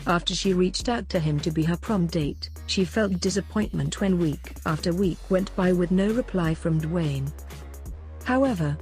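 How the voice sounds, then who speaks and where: A woman's voice: fairly low pitch, darkish tone. A woman reading a scripted feel-good human-interest story as a narrator.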